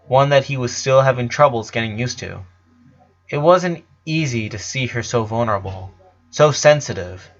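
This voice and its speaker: A man narrates a piece of fiction from a script. His pitch sits in the middle range for a man. The recording is a little muffled and lo-fi. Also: fairly bright tone. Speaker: story narrator.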